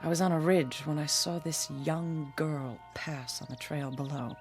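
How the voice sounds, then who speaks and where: An actress playing a bitter, haunted, hard-drinking woman in her forties in a radio drama, theatrical and sardonic with a husky voice. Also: low-pitched, measured pace. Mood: brooding, haunted. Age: 40s. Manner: theatrical, sardonic, self-pitying. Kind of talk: old-time radio drama acting. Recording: radio drama with music underneath.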